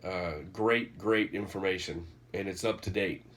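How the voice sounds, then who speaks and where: A man's voice: deep voice, steady tone, unhurried, a bit bright, a little hiss or hum. A man, congested and nasal from a cold, casually rambling through a book recommendation in a vlog. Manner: rambling, many fillers and restarts. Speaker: vlogger reviewing a book.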